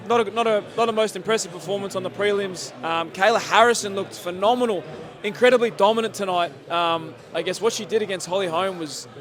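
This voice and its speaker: A man hosting a post-fight reaction interview, hoarse from yelling, talking excitedly with many fillers. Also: high voice. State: losing his voice from yelling. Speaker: host. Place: sports bar at a casino.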